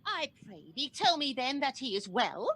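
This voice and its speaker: A woman's voice: high voice, big pitch swings, moderate pace, a bit bright, heavily acted. A woman playing a fierce, distraught young woman in a radio Shakespeare play, scolding and accusing in impassioned verse. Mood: scornful.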